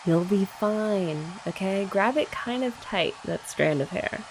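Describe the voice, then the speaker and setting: A woman's voice: medium pitch, expressive, measured pace, noisy background. A young woman doing a chatty ASMR girlfriend roleplay, explaining each step and teasing her listener. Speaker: ASMR creator.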